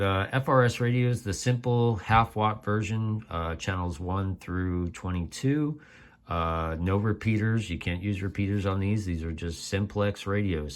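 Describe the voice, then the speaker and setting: Guy with a pretty deep voice doing a laid out casual explainer, chatty and folksy. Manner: informal, conversational, with folksy asides. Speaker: host.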